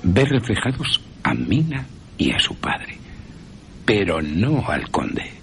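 A man with an accent from Spain narrates a horror tale for radio. His delivery is slow and theatrical, full of suspenseful pauses. The pitch swings widely over a clear bed of music. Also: huge pitch swings, a bit bright. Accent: Spanish from Spain. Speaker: radio drama narrator and presenter. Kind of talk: dramatic scripted horror narration.

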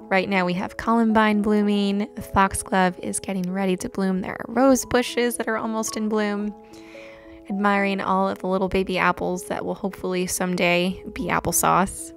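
Young female narrator, calm and gentle, slight fry, light music bed. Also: medium pitch. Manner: gentle, unhurried, with soft laughs. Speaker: homesteading vlogger. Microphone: voice-over recorded separately.

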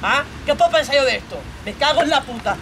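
A woman's voice: sits high, expressive, fast talker, crowd noise, noisy background. A woman voicing a jealous, bullying young boyfriend from a stage monologue, with a Spain accent, domineering and badgering with plenty of swearing. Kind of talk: theatrical monologue role-play.

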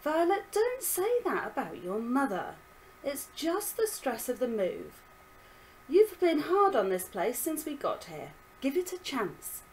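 A woman voicing a tired father who speaks gently and then scolds firmly in a storybook reading. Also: a little high, sing-song, measured pace, slight background noise, voice pushed up, poor audio. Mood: stern. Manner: firm, scolding. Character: tired father, gentle but quick to anger.

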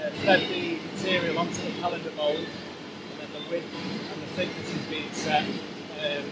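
A man's voice: a little high, flat delivery, moderate pace. A man in his thirties explaining a process as a guide inside a large working factory. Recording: music behind, factory hum.

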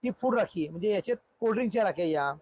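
A man's voice: high-pitched, expressive, racing, a little mellow, husky. A male shopkeeper, eagerly listing products in an informal sales explanation inside a shop.

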